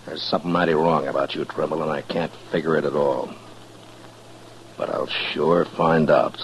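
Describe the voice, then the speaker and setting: A man's voice: extremely low pitch, little pitch movement, moderate pace. A man acting a stern, world-weary US Marshal in a 1950s western radio drama, terse, grave and plain-spoken. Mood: stern, suspicious. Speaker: lead actor and first-person narrator. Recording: muffled old radio recording with music.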